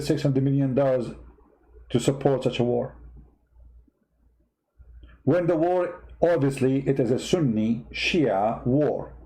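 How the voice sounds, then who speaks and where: A man's voice: mid-range voice, warm tone, poor audio. A man with a foreign accent who is not a native English speaker, giving heated, mocking political commentary and firing off repeated rhetorical questions. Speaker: host commentator.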